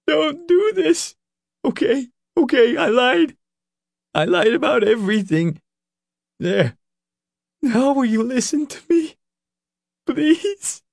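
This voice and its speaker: A man's voice: fairly high pitch, animated, measured pace, a little mellow, breathy edge, no echo, clean studio sound, pitched above the performer's own voice, full character voice. A man voicing a frantic, guilt-ridden teenage boy in an audiobook, crying and pleading with a stammering, breaking voice. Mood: desperate, sobbing.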